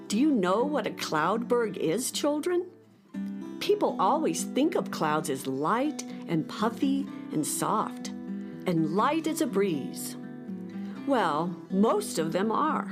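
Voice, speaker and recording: A woman's voice: medium pitch, sing-song, slow pace, over music. A woman narrating a scripted children's story in a theatrical way, speaking directly to young listeners.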